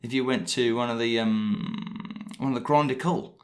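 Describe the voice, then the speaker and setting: A man hosting a podcast, asking a guest a list of questions in a brisk, lightly teasing way. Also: medium pitch. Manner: brisk, scripted intro then questions. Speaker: podcast host. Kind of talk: podcast interview.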